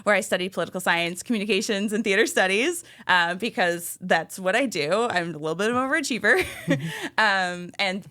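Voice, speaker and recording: A woman's voice: medium pitch, fairly quick, sharp tone. A woman in her thirties, a guest on a talk show, chatting casually and laughing easily about her work in the arts. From Western Massachusetts, raised in Michigan. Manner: chatty, laughs often, self-deprecating. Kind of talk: casual interview chat.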